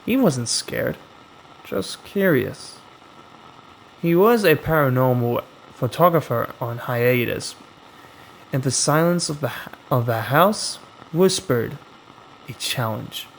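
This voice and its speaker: A man narrating a scripted horror story in a dramatic way, with suspenseful pauses. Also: medium pitch, animated, creaky, a little hiss or hum, poor audio.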